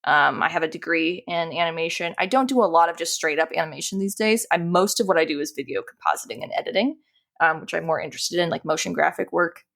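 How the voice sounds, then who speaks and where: A woman's voice: lowish voice, fast, gravelly, fairly bright tone. A woman chatting casually as an interview guest, informal and self-deprecating in the way she talks. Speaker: podcast guest, animator and dungeon master.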